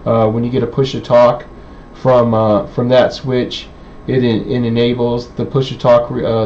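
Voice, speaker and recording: A man giving an informal technical demonstration in a halting, hesitant way with many pauses. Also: mid-range voice, darkish tone. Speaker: presenter, radio hobbyist. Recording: dull, lo-fi sound with faint background noise.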